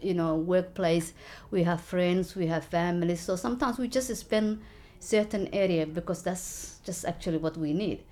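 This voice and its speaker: Woman, 40s, lowish pitch; earnest interviewee, halting delivery. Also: darkish tone. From Somalia. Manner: earnest, halting, many fillers and restarts. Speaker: local election candidate. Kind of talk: interview, personal story.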